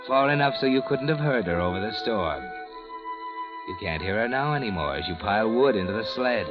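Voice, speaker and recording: Male actor: sinister omniscient narrator, low and taunting, old radio drama, loud score behind. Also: lightly acted. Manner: taunting, addresses the listener as "you". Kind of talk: 1940s radio acting. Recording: dull old radio recording with music.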